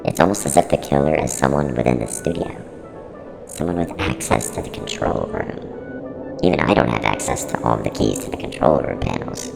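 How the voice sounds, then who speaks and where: An extremely deep, gravel-heavy service unit speaks in a long reverb over music. It is blunt and flippant, quick to get defensive, and its pitch swings around in an analog horror web-series style. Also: male voice, heavily acted. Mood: grave, worried. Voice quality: very deep, creaky, heavily reverberant. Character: snarky, evasive service-unit showrunner from a horror studio. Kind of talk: in-character mock interview.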